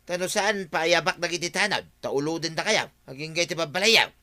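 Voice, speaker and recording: A man's voice: fairly high pitch, normal speed, bright, forceful tone. A man playing a meddling, scolding older village official in a comedy drama. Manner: scolding, blustery.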